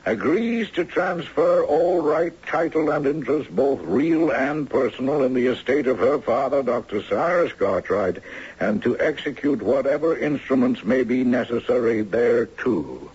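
An elderly retired judge for a 1970s radio play. His voice is rough and husky, with lively swings and a dry, courtly humor. The old recording is muffled. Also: mid-range voice, moderate pace. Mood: dry, lawyerly. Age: older. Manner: dry, courtly, given to chuckles and hums. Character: wry, gallant retired judge in his late seventies. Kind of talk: 1970s radio drama acting.

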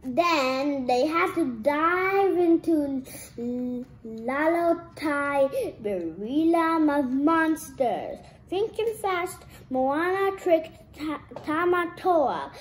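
High, slow storybook reader in echoey room. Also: a little hiss or hum. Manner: careful, restarts phrases after stumbles. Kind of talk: storybook read-aloud.

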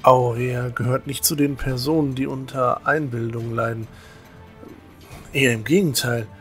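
Young earnest novice guy, man acting, fantasy audio play, stammery n agitated, mid pitch. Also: fairly even intonation, moderate pace, a bit bright, faint music behind, lightly acted. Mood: troubled, firm. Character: earnest, stocky young male religious novice. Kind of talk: fan-made fantasy audio drama acting.

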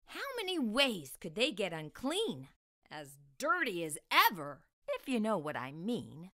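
A middle-aged woman reading aloud to young children as a storytime host, with expressive, deliberate delivery. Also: medium pitch. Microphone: lapel mic.

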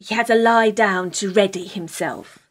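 A woman's voice: mid-range voice, moderate pace, lightly acted. A British woman playing an earnest, starstruck theatre fan in a radio play, prim and gushing.

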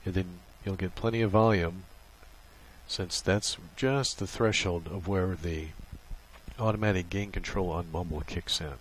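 Guy with a deep voice doing a casual unscripted how-to, explains stuff step by step. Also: unhurried, noisy background. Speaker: tutorial presenter. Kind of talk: casual unscripted software walkthrough.

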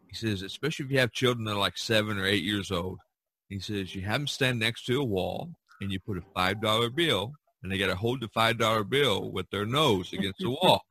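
An older man with a bright, forceful voice talks casually on a podcast. His manner is jovial, with chuckles slipping into his speech. The sound is close and dry. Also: on the low side, husky, no echo. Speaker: podcast co-host. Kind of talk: casual chat.

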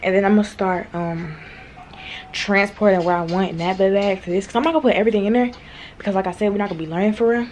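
A woman's voice: medium pitch, fairly even intonation, darkish tone, slight background noise. A teenage girl in casual, playful vlog chat, talking to her phone camera at home and in a store. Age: teens. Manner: chatty, playful, quick asides and laughs. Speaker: vlogger. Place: at home in a bedroom, later in a large store. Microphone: phone camera held at arm's length.

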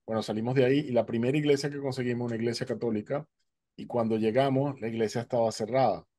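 Man telling his story, dark mellow voice. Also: medium pitch, steady tone, fast talker, dry recording. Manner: vivid storyteller, acting out dialogue. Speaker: guest speaker. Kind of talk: personal testimony. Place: home, indoors, virtual background. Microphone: headset.

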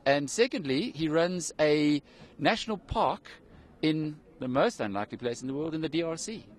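A man's voice: a little high, expressive, moderate pace. A middle-aged man presenting live outdoors in the snow, interviewing a guest in a conversational way with some ums. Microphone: handheld mic.

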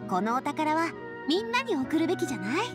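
A woman's voice: high-pitched, big pitch swings, fairly quick, music in the background. A woman voicing an elegant, kind young lady in a game, speaking in a poised, explanatory manner. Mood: thoughtful, kind. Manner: poised, explanatory, feminine speech. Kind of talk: game character acting.

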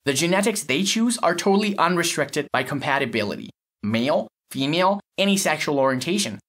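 A man's voice: a little high, expressive, brisk, fairly bright tone. A man playing a cynical, blunt lab technician in an audio story, explaining in a matter-of-fact, sardonic way. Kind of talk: acted dialogue in an audio short story.

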